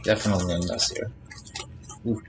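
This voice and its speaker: A man's voice: fairly low pitch, fairly even intonation, a little fast, poor audio. A man chatting casually on a live game stream, rambling to himself as he eats. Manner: rambling, talking to himself while eating.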